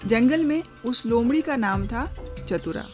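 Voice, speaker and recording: Slow, deliberate woman storyteller over music. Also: fairly high pitch, lively intonation, a little mellow. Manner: dramatic, deliberate phrasing with pauses. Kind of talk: scripted moral-story narration for a cartoon.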